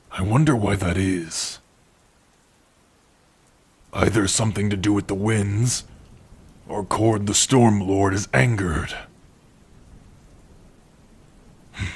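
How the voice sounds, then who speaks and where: A man playing a gentle, protective orc blacksmith in an ASMR roleplay, speaking tenderly and without hurry to a beloved listener. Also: fairly low pitch. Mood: warm, wry. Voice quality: husky. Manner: unhurried, tender, with soft sighs and hums. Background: rain.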